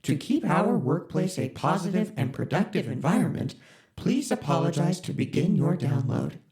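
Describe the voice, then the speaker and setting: Deadpan corporate ship AI. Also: medium pitch, moderate pace. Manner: flat, polite, bureaucratic. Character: deadpan corporate spaceship computer AI. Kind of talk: audio drama.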